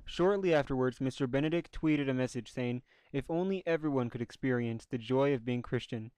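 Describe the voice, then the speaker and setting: A man's voice: mid-range voice, darkish tone, clear, resonant tone, no room sound. A man reading a scripted news report as narrator.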